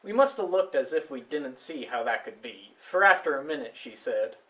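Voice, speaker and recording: A man acting in a stage play as a plainspoken farmer giving witness in a halting, folksy way. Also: medium pitch, expressive, pushed and bright, recorded from a distance, rough recording. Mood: earnest, uneasy. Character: plainspoken male farmer witness recounting a discovery. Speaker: stage actor. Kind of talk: amateur stage play dialogue.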